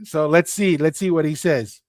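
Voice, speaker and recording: A man co-hosting a casual chat show, animated and laughing easily, repeating phrases for emphasis. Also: on the high side, normal speed, slight background noise. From Tonga. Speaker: podcast co-host.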